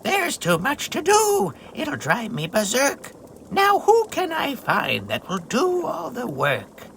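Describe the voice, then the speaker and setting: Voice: mid-range voice, wildly swooping pitch, slow, vocal fry, fairly bright tone, slight background noise, pitched above the performer's own voice, full character voice. A performer voicing a wicked old witch from a children's storybook, irritable and bossy, snapping out scornful commands. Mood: scheming.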